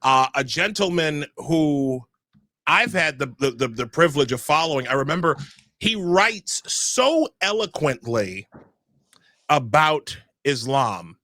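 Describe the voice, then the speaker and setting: A man's voice: a little high, big pitch swings, slow pace, pushed and bright, no room sound. A young adult man hosting a live podcast, speaking energetically with frequent filler sounds as he runs announcements and introductions. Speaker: show host.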